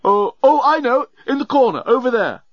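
A man's voice: a little high, expressive, normal speed, no room sound, lo-fi sound, close to an everyday voice. A man voicing a great old alien genius in an audiobook, maudlin and drunk, with effusive, slurred declaiming. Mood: dazed, searching.

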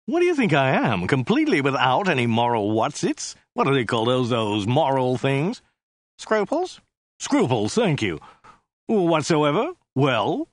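A man voicing a brash, flippant two-headed alien who talks loud and slangy. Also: medium pitch, huge pitch swings, a little slow, hardly any pauses, dry recording, studio quality. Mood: indignant. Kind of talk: audiobook character voice.

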